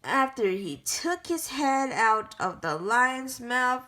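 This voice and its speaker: A woman reading a picture book aloud in a careful, halting way, often stopping to correct herself. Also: a little high, lively intonation, bright, forceful tone. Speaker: storybook reader.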